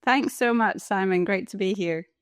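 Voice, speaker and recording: A woman in her forties with a Scottish accent, a palliative care doctor and coach speaking as an interview guest in a thoughtful, earnest, measured way. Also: mid-range voice, clear, resonant tone, no echo, clean studio sound. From Scotland. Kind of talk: interview conversation.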